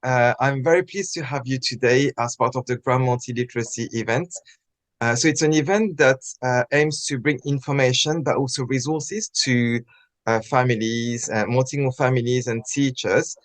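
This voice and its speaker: A man's voice: mid-range voice, normal speed. A man hosting an interview, speaking in a friendly way with many fillers and restarts. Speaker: interview host. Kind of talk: casual interview chat.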